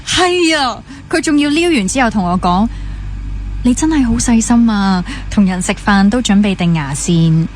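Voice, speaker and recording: A woman's voice: fairly high pitch, expressive, moderate pace, a little hiss or hum, natural voice. A young woman with a Hong Kong Cantonese accent playing a gossipy, pampered only child obsessed with dating in a naturalistic radio drama. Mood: animated gossiping. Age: young adult. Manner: chatty, gossipy, mixes in English words.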